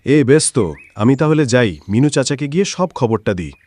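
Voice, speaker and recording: A man's voice: medium pitch, lively intonation, brisk, a little mellow, no echo, close to an everyday voice. A man voicing a simple, agreeable village farmer in a cartoon moral story, naive and hesitant. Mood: cheerful.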